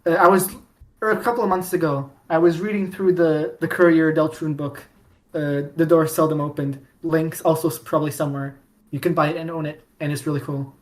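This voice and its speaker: A woman hosting a podcast in a casual fan-discussion style, with halting delivery that often repeats and restarts phrases. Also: sits low, steady tone, a little mellow. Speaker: podcast host.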